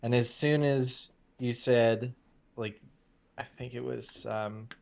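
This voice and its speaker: A man reminiscing in casual chat, informal and a little halting. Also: medium pitch, steady tone, vocal fry, a little mellow. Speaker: cast member on a story stream.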